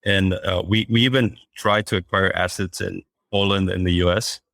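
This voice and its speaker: Guest founder from Costa Rica, very deep monotone voice, hesitant and repetitive delivery. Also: male voice, normal speed, vocal fry, dry recording, studio quality. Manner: hesitant, repeats words before finishing thoughts. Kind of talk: casual interview answers.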